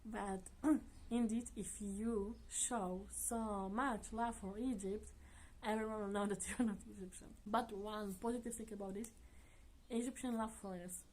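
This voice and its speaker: A woman vlogger chatting casually to her viewers in earnest, non-native English, often laughing softly. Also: medium pitch, steady tone, unhurried, slight background noise, poor audio. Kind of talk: casual chat to camera.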